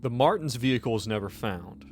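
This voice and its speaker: A man's voice: medium pitch, lively intonation, a little fast, darkish tone, faint music behind. A man running a tabletop game as game master, talking casually and bantering with the players.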